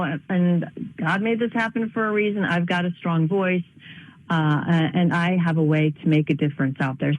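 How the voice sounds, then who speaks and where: A woman giving a radio interview by phone, earnest and reflective, often restarting her phrases. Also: lowish voice. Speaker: guest, speaker and author. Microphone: telephone. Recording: tinny phone line.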